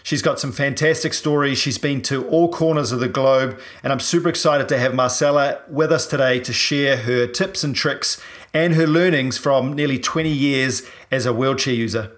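A man's voice: mid-range voice, normal speed. A man hosting an interview podcast, enthusiastic, often repeating words as he asks his questions. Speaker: podcast host.

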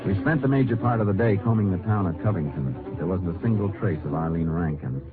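Actor as deep-voiced, terse lawman on old radio. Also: a little fast, loud music behind the voice, natural voice. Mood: matter-of-fact. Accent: American. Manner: terse, probing questioner. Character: calm, authoritative male Texas Ranger investigator. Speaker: lead actor and narrator. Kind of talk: 1950s radio drama acting. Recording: noisy, tinny 1950s radio recording.

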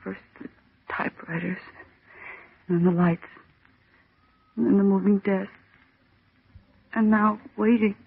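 A woman's voice: medium pitch, steady tone, measured pace, creaky, pauses often, husky, no echo. An actress in an old-time radio drama playing a terrified, trapped woman struggling to stay sane, with a tremulous, pleading, intense delivery. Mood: haunted, weary. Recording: noisy, tinny old radio recording.

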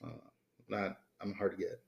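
A man with a fairly low voice chats as a podcast co-host. His banter is casual and slangy, with plenty of swearing. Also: monotone, normal speed, gravelly, dull audio. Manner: profane, slangy.

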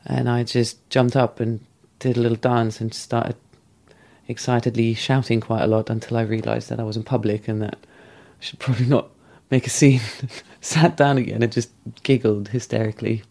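A man calmly and candidly recounting a past relationship in a thoughtful, measured way. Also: mid-range voice, vocal fry. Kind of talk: reflective personal storytelling.

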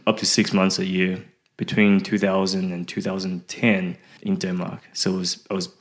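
Very low-pitched man, casual and halting. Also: level tone. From Taiwan, later Australia and Canada. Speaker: podcast guest, fishing website founder. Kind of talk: casual interview chat.

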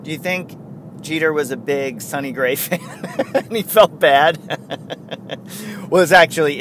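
A man's voice: medium pitch, a bit bright. A man with a New York accent hosting his own podcast in a rambling, casual way, chuckling and restarting his sentences. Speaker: podcast host. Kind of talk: casual solo podcast chat.

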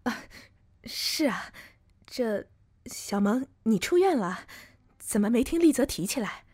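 Woman playing a flustered, slow-speaking mother. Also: on the high side, very animated, darkish tone, slightly rough, no echo, studio quality, exaggerated voice. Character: flustered, courteous mother of a grown son. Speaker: voice actor. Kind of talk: modern Chinese audio drama acting.

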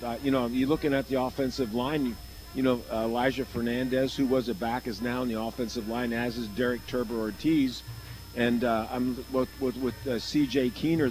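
A man giving unscripted football analysis as a radio color commentator, halting and repeating words as he thinks aloud. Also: medium pitch, a little mellow, rough recording.

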